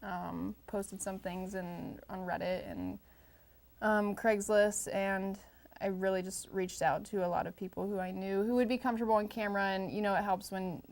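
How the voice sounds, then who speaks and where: A woman speaks as a filmmaker being interviewed. Her voice is of middling pitch with a clear creak. She answers casually and a bit hesitantly, in informal language. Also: steady tone, moderate pace, darkish tone.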